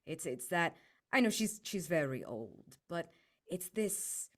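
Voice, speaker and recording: A man's voice: a little high, very animated, slow, fairly bright tone, exaggerated voice. A man voicing a sincere, nostalgic devotee of sacrifices in a tabletop game, musing effusively in a conversational way. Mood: nostalgic, fervent.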